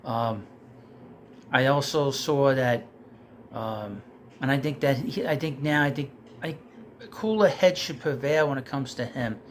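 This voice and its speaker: A man's voice: mid-range voice, a little slow, pauses often, slight background noise. A man ranting casually about his team, getting heated and losing his voice. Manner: heated, rising and louder when frustrated. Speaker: sports commentator. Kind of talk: casual rant.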